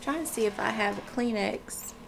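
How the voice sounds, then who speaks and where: A woman's voice: medium pitch, moderate pace, creaky, slight background noise, poor audio. A woman talking casually to camera with a stuffy nose and a froggy voice, chatty and loose with many fillers. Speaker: wellness vlogger. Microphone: camera mic.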